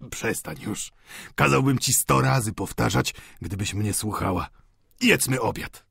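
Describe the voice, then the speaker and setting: Doting elderly father, acted by a male narrator, audiobook drama: husky, creaky, effusive, fervently tender. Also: medium pitch, a little slow, a bit bright, no room sound, clean studio sound, exaggerated voice. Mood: pleading. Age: older. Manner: effusive, pleading, sentimental. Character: doting, tearful old father, fervently devoted to his daughter.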